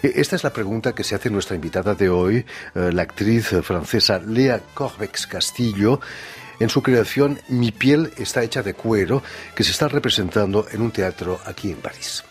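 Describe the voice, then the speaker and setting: A man hosting a radio interview from a studio, introducing his guest in a measured, formal way. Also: lowish voice, soft background music. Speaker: radio host.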